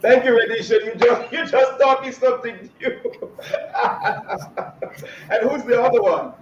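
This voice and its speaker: A man's voice: extremely high pitch, fairly even intonation, measured pace, dull audio. A male host interviewing over a video call, enthusiastic but hesitant with many ums. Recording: echoey room, faint noise.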